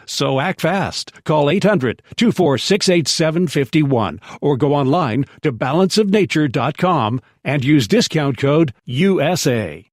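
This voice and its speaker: A man's voice: a little high, no echo, studio quality. A man reading a scripted radio commercial as its announcer. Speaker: advertisement announcer.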